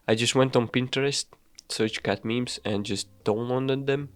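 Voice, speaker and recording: A man's voice: mid-range voice, fairly even intonation, no room sound. Male devlog narrator, casual and self-mocking, mid-range voice. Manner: loose and offhand, frequent swearing and fillers; self-deprecating, hurried. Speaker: game dev YouTuber.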